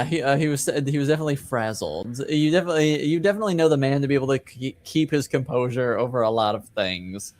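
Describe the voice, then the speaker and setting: A man working as game master, narrating a tabletop game in a casual, halting, conversational way. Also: medium pitch.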